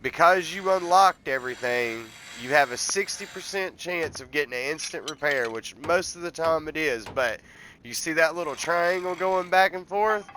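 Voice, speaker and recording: A man's voice: a little high, expressive, moderate pace, bright, forceful tone, slight background noise. A man, congested from a cold, casually walking through a car-repair game step by step in a rambling, folksy way. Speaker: gameplay tutorial host.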